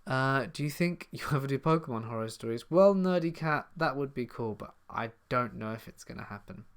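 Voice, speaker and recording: A man's voice: medium pitch, animated, a little fast, clear, resonant tone. Casual southern English man reading chat. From south of England. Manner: casual, chatty, reads comments aloud then answers. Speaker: horror story channel host. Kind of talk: live stream Q&A, reading out chat.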